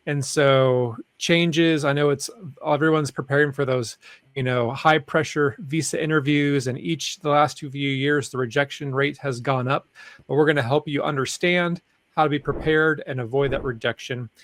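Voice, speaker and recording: A man's voice: mid-range voice, steady tone, normal speed, a bit bright, no room sound. A man hosting an interview over a video call, greeting viewers and asking questions in an upbeat way. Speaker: host.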